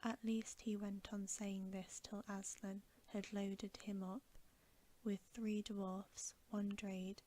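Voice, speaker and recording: A woman narrates a bedtime storybook for ASMR. Her whisper is soft and breathy, very slow, mellow and even in pitch. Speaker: ASMR reader.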